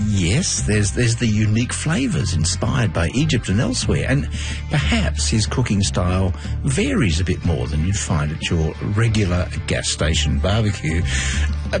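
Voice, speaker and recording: A man presenting a feature in scripted narration. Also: on the low side. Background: music.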